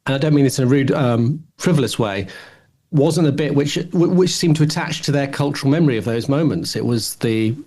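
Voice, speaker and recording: British male, middle-aged, podcast co-host, loose rambling conversational delivery with mid pitch. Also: a little fast, a little mellow. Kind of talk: casual chat about television.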